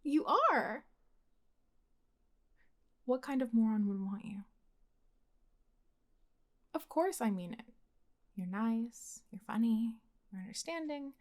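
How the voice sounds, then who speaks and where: A young woman acting as a blunt, secretly smitten best friend in an intimate, conversational ASMR roleplay, with a casual, expressive delivery. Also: on the high side, close to an everyday voice. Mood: indignant, fond. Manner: casual, expressive, sighing, sweary.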